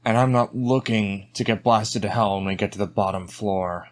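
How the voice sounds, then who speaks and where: A man's voice: on the low side, steady tone, normal speed, lightly acted. A man voicing a wary, pragmatic fighter in a tabletop game, casual and wry.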